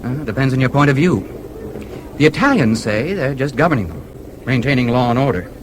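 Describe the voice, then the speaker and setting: A man's voice: medium pitch, expressive, fairly quick, close to an everyday voice. An actor playing a confident, genial American newspaperman in a radio drama, with an American accent. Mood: explanatory. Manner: genial, breezy, self-assured. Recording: radio play with clear background noise.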